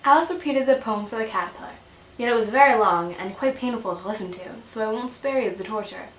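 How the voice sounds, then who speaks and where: A woman narrates a children's puppet show in storybook style. Her voice sits a little high, and the home recording carries clear room echo. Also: quick pace. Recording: roomy, echoing home recording.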